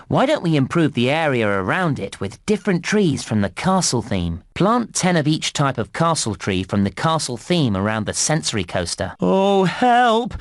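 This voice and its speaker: A man voicing a cheery, eager theme park guide in a children's computer game, giving scripted instructions in an animated, encouraging manner. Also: medium pitch, dry recording, clean studio sound, natural voice. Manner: animated, clearly enunciated instructions, exclamatory.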